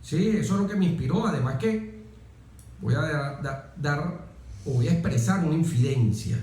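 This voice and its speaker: Venezuelan man, higher-pitched, in very echoey room. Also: expressive, normal speed, darkish tone. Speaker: interview guest, soldier. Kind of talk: reflective personal storytelling in an interview.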